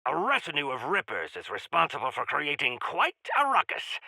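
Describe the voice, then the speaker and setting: An actor playing a pompous, grandiloquent heroic quest-giver in a video game, with florid, theatrical phrasing. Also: male voice, fairly high pitch, gravelly, sharp tone, no echo, full character voice, rough recording. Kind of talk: video game character voice lines.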